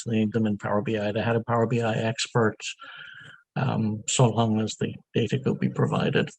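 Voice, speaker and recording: Older Irish male guest, Dublin accent, unhurried and halting, wry; gravelly voice. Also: medium pitch, darkish tone, no echo, low-quality recording. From Ireland. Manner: halting, frequent ums, self-deprecating asides. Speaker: guest, software consultant. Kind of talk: unscripted interview answers.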